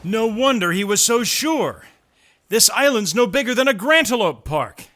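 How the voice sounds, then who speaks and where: An actor playing a resolute, resourceful mercenary on the run in an audio drama, speaking in terse, urgent commands. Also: male voice, sits high, animated, normal speed, bright, forceful tone. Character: resolute, resourceful male mercenary hunted through a jungle.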